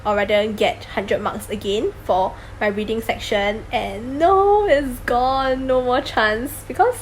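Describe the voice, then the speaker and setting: A woman's voice: fairly high pitch, measured pace, slight background noise, rough recording. A woman vlogger talking casually about taking a language exam, chatty and self-deprecating, with frequent ums and little laughs. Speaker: vlogger sharing exam tips. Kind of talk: casual talking-to-camera vlog.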